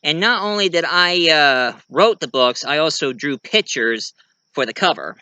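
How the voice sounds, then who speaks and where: An American man giving a casual show-and-tell monologue, rambling and halting as he corrects himself along the way. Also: a little high, lively intonation, pushed and bright, clean tone, no echo. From United States. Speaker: amateur reviewer and story writer presenting own work.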